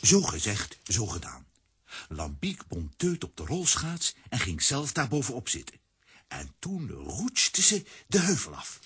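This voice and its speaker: A man narrating a children's radio play in an expressive storytelling manner. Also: mid-range voice, measured pace, slightly rough.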